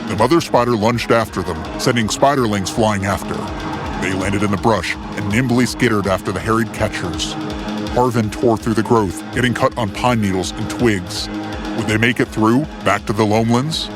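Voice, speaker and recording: A man's voice: on the low side, moderate pace. A man reading a scripted adventure story in a theatrical, suspenseful way, as its narrator. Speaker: storyteller, narrator of a fiction series. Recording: music bed under the voice. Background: music.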